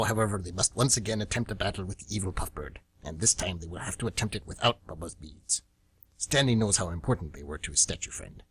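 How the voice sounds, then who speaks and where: A man with a husky voice reading scripted, dramatic story narration for a radio theatre show. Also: low voice, a bit bright. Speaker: narrator and co-host.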